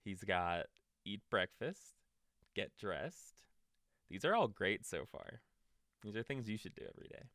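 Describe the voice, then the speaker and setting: Male storytime reader, bedtime narration for little ones, very slow delivery, close dry sound. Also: lowish voice, big pitch swings, a bit bright, dry recording.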